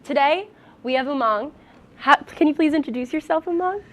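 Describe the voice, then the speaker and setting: A young woman with a fairly high voice hosts a student television talk show. She speaks in an interviewer's conversational style, with some music in the recording. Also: normal speed. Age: young adult.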